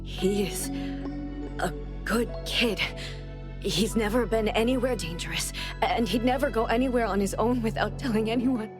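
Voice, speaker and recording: A woman's voice: fairly high pitch, moderate pace, close to an everyday voice. An actress playing a worried mother of a missing boy in a video game cutscene, earnest, pleading and apologetic. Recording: background music under the voice.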